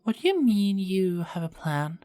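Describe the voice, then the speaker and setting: A woman playing a caring, dutiful young queen in an ASMR roleplay, speaking formally and softly with small hesitant stammers. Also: mid-range voice, very animated, a little slow. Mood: wistful, surprised. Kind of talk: ASMR roleplay, scripted character acting.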